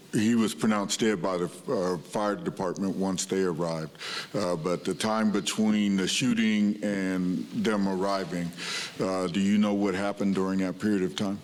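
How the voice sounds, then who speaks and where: A man on a review board asking polite, halting questions with many filler sounds. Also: lowish voice. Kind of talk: questioning at a public meeting.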